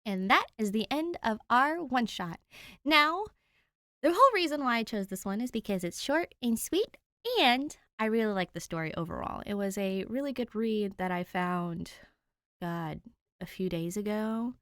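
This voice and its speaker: A woman reading fan fiction aloud and chatting to listeners in an expressive way. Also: mid-range voice, moderate pace, no room sound, clean studio sound. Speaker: fan fiction podfic reader. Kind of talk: story narration and casual chat to listeners.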